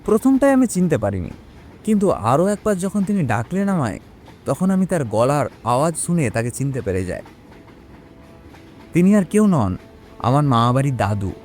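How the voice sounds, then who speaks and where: A man playing a young man who recounts his own eerie experience in an expressive, suspenseful audio horror drama. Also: fairly high pitch, moderate pace, long pauses, soft background music. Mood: suspenseful, earnest. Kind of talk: dramatic first-person audio horror storytelling.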